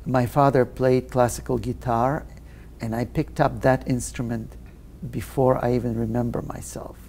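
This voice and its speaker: A man from Russia, answering interview questions slowly and thoughtfully, with many hesitant pauses. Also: mid-range voice, flat delivery, darkish tone, slight background noise. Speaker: guest pianist.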